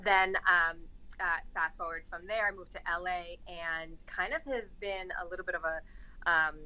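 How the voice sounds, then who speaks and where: Woman from the Bronx, podcast guest, low-ish voice with a bright, forceful edge, dull lo-fi recording. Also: moderate pace. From the Bronx, New York. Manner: energetic, talkative, many fillers. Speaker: executive coach, podcast guest. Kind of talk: casual podcast interview. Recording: dull lo-fi sound.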